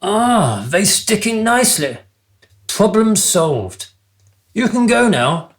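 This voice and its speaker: A man's voice: high voice, big pitch swings, slow pace, full character voice. An actor playing a childish, vain and callous king in a comic radio play, pompous and petulant. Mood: smug, imperious. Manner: pompous, petulant, theatrically posh phrasing.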